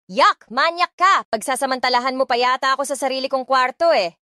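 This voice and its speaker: A woman's voice: a little high, fairly bright tone, dry recording. A woman from the Philippines reading a first-person romance audiobook, dramatic and indignant, switching between Tagalog and English. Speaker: audiobook narrator.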